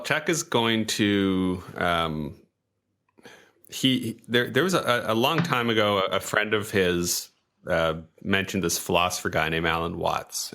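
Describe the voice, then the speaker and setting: Male tabletop player, low pitch, forceful bright tone, casual halting third-person storytelling.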